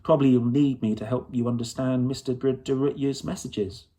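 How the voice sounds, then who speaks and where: A man's voice: medium pitch, fairly even intonation, normal speed, dark tone, close to an everyday voice. A man voicing a fierce, stubborn young girl in a storybook, insistent and pleading. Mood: persuasive.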